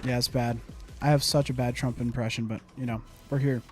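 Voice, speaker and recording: A man's voice: medium pitch, level tone, fairly quick, faint music behind. A young man giving casual gameplay commentary, muttering to himself, humming and repeating phrases. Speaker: gaming streamer.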